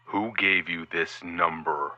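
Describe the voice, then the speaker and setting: An actor playing a gruff, guarded middle-aged private investigator who warns a caller off curtly over the phone. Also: male voice, low-pitched, fairly even intonation, takes it slowly, sharp tone, no echo, lo-fi sound, full character voice. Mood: stern. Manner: curt, warning, guarded.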